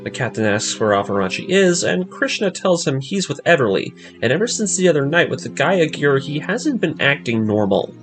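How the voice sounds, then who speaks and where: A man's voice: fairly high pitch, very animated, moderate pace, hardly any pauses. A man reading a scripted story recap as a narrator, with a dramatic storytelling delivery. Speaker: narrator of an anime story breakdown series. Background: music.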